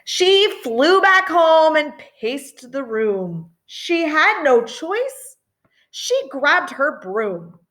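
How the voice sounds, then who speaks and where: A middle-aged woman reading a children's picture book expressively, with dramatic emphasis and chatty asides. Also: high voice. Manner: animated, deliberate, dramatic emphasis. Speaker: storytime reader.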